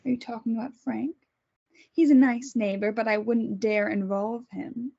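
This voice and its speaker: A woman voicing a calm, clinical woman who holds a captive and experiments on her, reading in a table read. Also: fairly high pitch, normal speed, creaky. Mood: cold. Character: coolly menacing woman doctor-kidnapper Beth. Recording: dull lo-fi recording.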